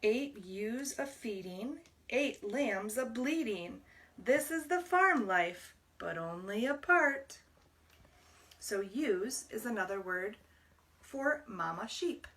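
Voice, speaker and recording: A woman reading a picture book aloud to young children as a library storyteller, deliberately, pausing to explain words. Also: a little high, animated, a bit bright, distant mic.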